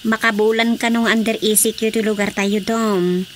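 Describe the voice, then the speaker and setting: A woman's voice: mid-range voice, moderate pace, natural voice. A woman acting a practical, enterprising village woman in an Ilocano radio drama, with an Ilocano accent. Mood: brisk, explaining. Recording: steady background noise.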